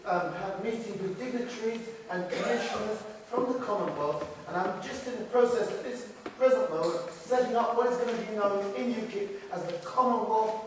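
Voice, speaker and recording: A man with a notably high voice gives an animated campaign speech at a public meeting, breaking into laughing asides. He is heard from a distance in a big, echoing hall with a long reverb. There is a faint hiss under the voice. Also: brisk, few pauses. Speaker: party leadership candidate. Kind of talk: hustings speech. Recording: echoey hall from far off.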